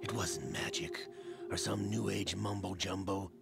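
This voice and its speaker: An actor playing a smug, scornful villain in a video game cutscene, gloating in a condescending, deliberate, theatrical way. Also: male voice, low-pitched, fairly even intonation, creaky, over loud music, exaggerated voice. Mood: contemptuous. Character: smug, scornful male villain revealing his grand scheme.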